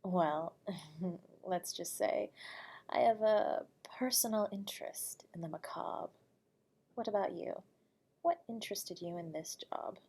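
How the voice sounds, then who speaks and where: A woman playing an eerie, nocturnal horror radio host from beyond the grave, speaking to the listener in a soft, breathy, whispery voice, courteous and teasing, with frequent chuckles. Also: mid-range voice, takes it slowly. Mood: silky, teasing. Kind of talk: audio roleplay addressing the listener.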